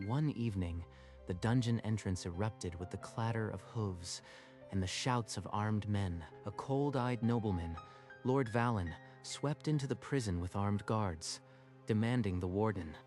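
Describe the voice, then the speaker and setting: Dude narrating a dramatic manga story, lowish voice, scripted, music in the back. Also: male voice, a little slow, a little mellow. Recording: music behind the voice.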